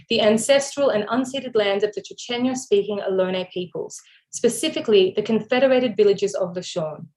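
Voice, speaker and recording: A woman from Australia hosting an online lecture, reading prepared welcome remarks and a land acknowledgement in a steady, formal manner. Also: mid-range voice, rough recording. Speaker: event host. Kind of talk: scripted event welcome and introduction. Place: online video event.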